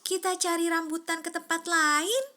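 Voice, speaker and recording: A woman voicing an excitable young monkey in a children's storybook, exclaiming in a shrill, urgent way. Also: normal speed. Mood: eager.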